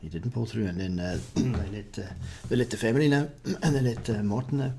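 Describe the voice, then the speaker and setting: A man's voice: fairly low pitch, brisk, warm tone, slight background noise. A middle-aged South African man with a South African accent, talking bluntly and laconically with crude jokes in a casual Q&A. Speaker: guest, father and ex-soldier. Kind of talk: casual podcast Q&A.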